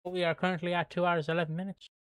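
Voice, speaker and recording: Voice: mid-range voice, flat delivery, very fast, a bit bright, dry recording. An Irish host from Kildare chatting casually on a livestream, rambling, swearing and laughing while reading viewer comments. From Ireland. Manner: plugs merch in a breezy, offhand way.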